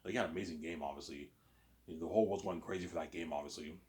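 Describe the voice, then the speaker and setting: A man's voice: deep voice, quick pace, darkish tone. A man with a slight Canadian accent talking in a rambling casual monologue, often restarting and tagging on "obviously". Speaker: vlogger.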